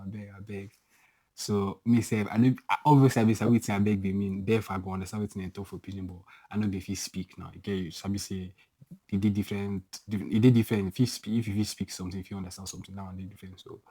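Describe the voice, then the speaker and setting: A man's voice: lowish voice, darkish tone, no room sound. A young Nigerian man talking casually in a language-learning vlog, restarting and correcting himself and laughing at his own slips. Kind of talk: casual self-recorded vlog.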